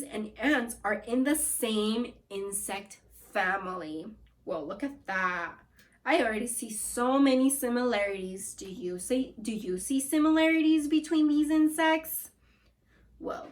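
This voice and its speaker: A woman teacher reads a children's nonfiction book aloud, stopping often to ask her young listeners questions. She speaks slowly and carefully. Her voice sits a little high. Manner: slow, deliberate, addresses kids as scholars. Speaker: second grade teacher. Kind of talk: read-aloud lesson for children.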